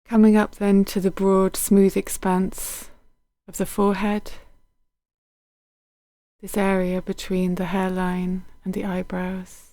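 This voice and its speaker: Woman doing a guided meditation, really even gentle voice, close dry sound, takes her time. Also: medium pitch, no echo. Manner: gentle, unhurried, soothing, with soft phrase endings. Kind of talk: guided body scan meditation.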